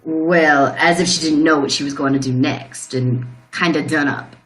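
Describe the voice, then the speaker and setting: A woman's voice: sits low, steady tone, normal speed, fairly bright tone. A woman playing a plain-spoken country farmer who gives a rambling, folksy account in a radio play. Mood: folksy, puzzled. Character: plain-spoken rural male farmer recounting a shock. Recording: roomy, echoing sound.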